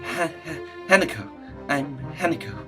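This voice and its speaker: Man putting on a timid, higher-voiced stammering schoolgirl. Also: lively intonation, normal speed, over music, close to an everyday voice. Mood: timid, nervous. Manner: stilted, quiet, hesitant. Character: shy, timid, stammering schoolgirl from a dating game.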